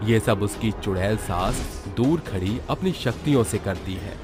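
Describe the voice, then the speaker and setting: A man's voice: on the low side, lively intonation, measured pace. A man narrating a cartoon moral horror story in a dramatic, scripted storytelling style. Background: background music.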